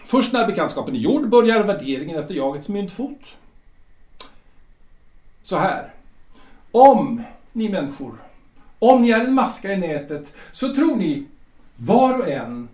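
A wry male guide to the dead, played by a man for an audio drama, who probes and reads out verdicts with measured pauses. His voice sits high and swoops lively across its range. The recording is roomy and echoey. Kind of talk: amateur audio drama acting. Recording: echoey room.

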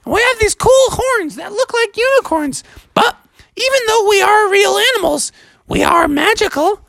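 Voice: very high voice, expressive, normal speed, vocal fry, a bit bright, no room sound, pitched above the performer's own voice, exaggerated voice. A storyteller voicing a friendly magical female narwhal in a cheerful, sing-song way.

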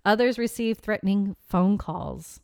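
A woman's voice: medium pitch, measured pace, vocal fry, a little mellow, smooth. A woman co-hosting a podcast, telling stories in a conversational way and adding asides as she reads out accounts. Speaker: podcast co-host. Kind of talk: casual storytelling chat about a legend.

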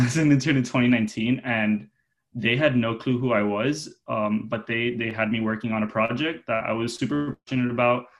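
A man in his twenties giving informal, rambling interview answers as a guest, often correcting himself. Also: on the low side. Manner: informal, enthusiastic wording, rambling with self-corrections. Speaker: interview guest, junior publicity manager.

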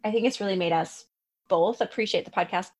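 A woman's voice: mid-range voice, fairly quick, breathy edge. A woman co-hosting a podcast, talking with enthusiasm and teasing her friend. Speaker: podcast co-host. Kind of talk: casual chat.

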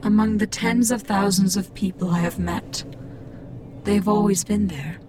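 An actress playing a cold, haughty machine-cult priestess who speaks in grave, deliberate pronouncements in a sci-fi audio drama. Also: female voice, medium pitch, steady tone, noisy background, lightly acted. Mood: bleak, weary. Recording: dramatic music under the voice. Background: music.

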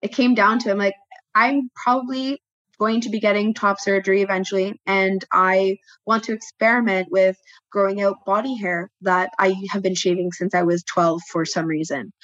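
A woman whose first language is French, a guest speaking reflectively with frequent pauses and restarts. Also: mid-range voice, steady tone, a bit bright, no room sound, muffled recording. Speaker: podcast guest, tattoo studio co-owner. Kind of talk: personal interview talk.

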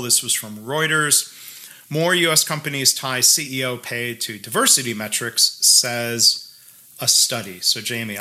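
A man with a very bright, forward tone co-hosts a relaxed chat show over a video call. He talks in a loose, halting way, circling back with fillers and restarts before landing his point. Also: mid-range voice. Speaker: podcast co-host. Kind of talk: casual conversation.

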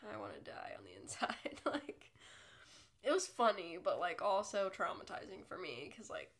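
A woman's voice: medium pitch, big pitch swings, moderate pace, husky. Congested young woman, chatty podcast host. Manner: chatty, self-deprecating, rambling. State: congested from a cold. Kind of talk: casual solo podcast chat.

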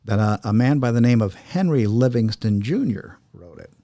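A man hosting a podcast in a chatty, halting, explanatory way, sometimes reading verse aloud. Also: mid-range voice, lively intonation, mellow, no echo, clean studio sound. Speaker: podcast host. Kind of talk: casual podcast talk and poem reading.

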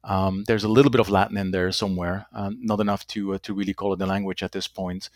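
Low-voiced man, halting speech. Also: flat delivery, dry recording, clean studio sound. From Belgium. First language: Dutch. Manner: halting, repeats words, tags sentences with "right?". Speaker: company executive guest. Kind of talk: conversational interview answers.

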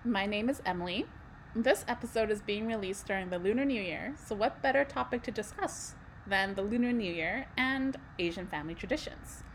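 A woman's voice: mid-range voice, fairly quick, low-quality recording. Young female co-host from Canada, chatty and easygoing, slight background hiss. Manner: conversational, many fillers, light laughs. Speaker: podcast co-host. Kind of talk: casual podcast chat.

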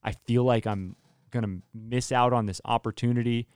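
A man's voice: mid-range voice, fairly even intonation, normal speed, a little mellow, clean tone, no room sound. A young man and worship leader telling a story in casual podcast chat, earnest and often restarting his sentences.

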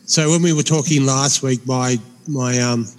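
A man in his forties with a speech impediment, co-hosting a gaming podcast in a halting, filler-heavy way. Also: medium pitch, fairly even intonation, in a large hall. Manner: halting, many fillers and restarts. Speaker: podcast co-host. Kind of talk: casual chat.

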